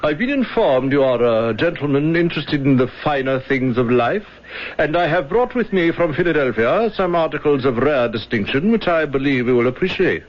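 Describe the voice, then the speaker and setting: A man's voice: a little high, expressive, moderate pace, close to an everyday voice. An actor playing a suave, furtive salesman with a secret in a radio drama, formal and ingratiating. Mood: courteous, smooth. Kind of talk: old-time radio drama acting. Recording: dull vintage radio recording.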